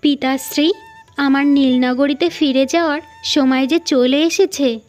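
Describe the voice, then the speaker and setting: A woman's voice: high voice, fairly even intonation, measured pace, darkish tone. A woman voicing a young, earnest cartoon princess who speaks formally and firmly, with music behind. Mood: solemn, firm. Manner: formal, declarative storybook speech.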